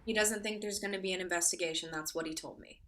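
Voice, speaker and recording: A woman's voice: fairly low pitch, fairly even intonation, fast talker, fairly bright tone, lightly acted. A woman playing a curt, insistent girlfriend. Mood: tense. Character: worried young woman, sharp-tongued girlfriend. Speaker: actor in a staged play reading. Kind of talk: stage play reading.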